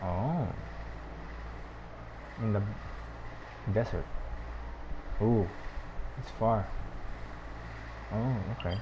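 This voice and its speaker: A man's voice: on the low side, very slow, pauses often, dull audio, background noise. A man giving casual, laid-back gameplay commentary in short, muttered reactions. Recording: game music behind the voice.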